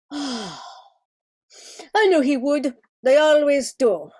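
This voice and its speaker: A grave Greek muse, acted by a woman for a radio play. She is an immortal with work to do, weary and unhurried, and her voice sits fairly high. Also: expressive, mellow, exaggerated voice.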